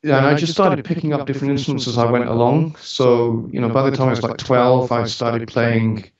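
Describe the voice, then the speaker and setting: A man's voice: mid-range voice, steady tone, brisk. A man in his forties with a mixed Scottish British accent, telling stories casually in a chatty, informal, lightly joking way. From Scotland, grew up on the road around the UK. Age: 40s. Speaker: interview guest, musician and studio owner. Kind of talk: casual conversational storytelling. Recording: echoey room, distant mic, dull sound.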